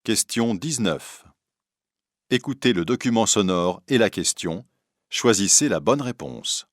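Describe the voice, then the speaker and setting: Casting: male test announcer, low-pitched, dry studio recording, reading scripted exam instructions. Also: animated, unhurried, no echo.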